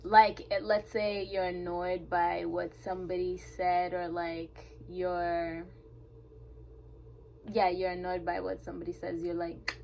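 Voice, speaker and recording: A woman's voice: lowish voice, level tone, unhurried, creaky, a little mellow, a little hiss or hum. A young woman from Kenya whose first language is Swahili, answering interview questions in a hesitant, casual way with many fillers. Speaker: interview guest.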